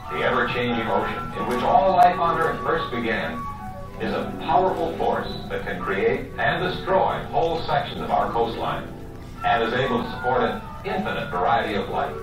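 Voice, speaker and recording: A man's voice: medium pitch, far from the mic. A man reading scripted educational nature narration as the host of a television program. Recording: very echoey archival television soundtrack.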